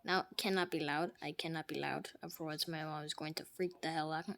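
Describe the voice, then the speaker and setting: A woman giving jokey gameplay commentary, trash-talking the game characters and swinging from hushed mutters to sudden loud outbursts. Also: low voice, steady tone, brisk, gravelly. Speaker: gamer.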